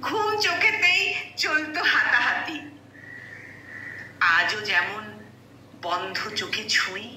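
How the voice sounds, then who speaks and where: A woman reciting a poem expressively, with dramatic, wistful phrasing and frequent pauses. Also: medium pitch, big pitch swings, sharp tone. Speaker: poetry reciter. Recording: echoey room, distant mic, faint noise.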